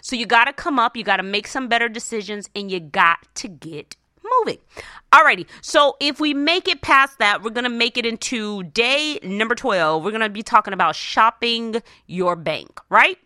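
A woman's voice: fairly high pitch, animated, moderate pace, pushed and bright, no echo, clean studio sound. A woman hosting a motivational advice show, speaking emphatically and colloquially, often checking in with short questions. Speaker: host of a money advice show. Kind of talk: motivational personal finance radio talk.

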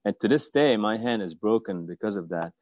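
A man in his fifties from Afghanistan telling his own story as an interview guest, with a halting, hesitant delivery. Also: lowish voice, lively intonation, darkish tone, dry recording, highs cut off. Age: 50s. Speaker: guest, martial arts teacher.

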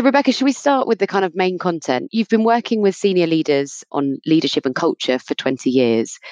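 A man's voice: on the high side, darkish tone, no room sound. A man hosting a podcast, asking long, rambling questions in a friendly way. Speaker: podcast host. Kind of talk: conversational podcast interview.

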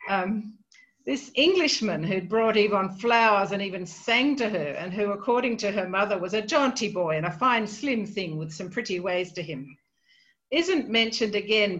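A woman's voice: mid-range voice, fairly bright tone, lo-fi sound. A woman with an Australian accent, a literary scholar on a podcast, talking about a short story in a hesitant, thinking-aloud way with many ums and restarts. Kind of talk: podcast discussion of a novel.

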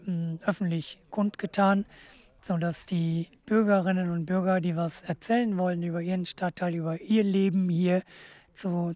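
A man being interviewed, explaining in a halting way and correcting himself as he goes. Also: a little high, darkish tone, highs cut off.

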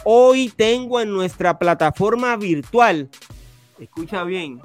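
A man hosting a podcast interview, asking questions slowly with many hesitant fillers. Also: sits high, animated, faint music behind. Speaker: podcast host. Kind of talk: casual interview.

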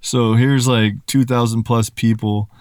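A man who is a rapper and YouTuber, telling stories in a relaxed way with casual swearing on a podcast. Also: mid-range voice, a little slow, no room sound, clean studio sound.

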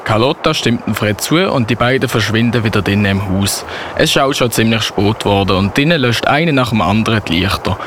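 Male narrator for an amateur audio drama: lower-middle voice, storytelling delivery, light background noise. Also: animated, fairly quick, fairly bright tone. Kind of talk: radio play story narration in German.

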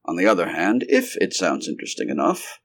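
Man voicing a brusque, animated, learned scientist. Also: deep voice, a little fast, creaky, exaggerated voice. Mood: impatient. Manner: emphatic, wordy and learned. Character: brusque, cheerful big male scientist, impatient and skeptical. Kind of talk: acted story dialogue.

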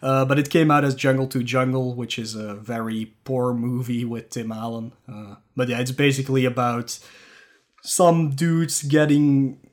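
A man's voice: mid-range voice, normal speed. Man chatting casually on a podcast. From Belgium. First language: Dutch. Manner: relaxed, laughs often, trailing fillers. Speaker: podcast co-host.

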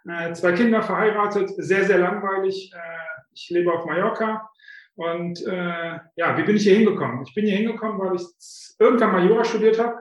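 Fifties man, high voice, chatty and self-mocking storyteller with lots of filler sounds, recorded in a very reverberant room. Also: brisk. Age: 50s. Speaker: guest, author coach. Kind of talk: casual interview storytelling. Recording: very echoey room.

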